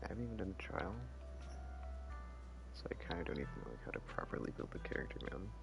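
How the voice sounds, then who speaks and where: A man's voice: deep voice, big pitch swings, quick pace, gravelly, over music. A man streaming a video game with casual, rambling commentary, thinking aloud as he plays. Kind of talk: casual live gameplay commentary.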